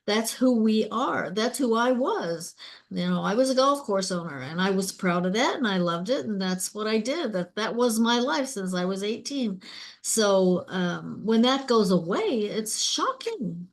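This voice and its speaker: A woman's voice: mid-range voice, lively intonation, measured pace. An older woman, founder and host of an online group, talking through a casual discussion in a reflective, personal way.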